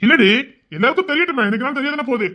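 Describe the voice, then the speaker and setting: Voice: high voice, expressive, very fast, noisy background. A performer playing a stubborn, threatening young person in an amateur melodrama, insistent and badgering. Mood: defiant.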